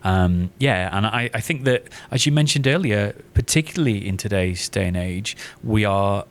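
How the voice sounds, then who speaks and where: Man, British accent, fairly low pitch, enthusiastic, hesitant repeated phrasing, casual podcast interview guest. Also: normal speed. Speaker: guest, audio drama writer.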